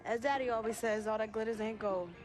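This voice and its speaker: A woman's voice: fairly high pitch, fairly even intonation, fast talker, music in the background, lightly acted. A woman playing a playful, loyal young American sister in game dialogue, speaking in a slangy, teasing way. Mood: reflective. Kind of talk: naturalistic game cutscene dialogue.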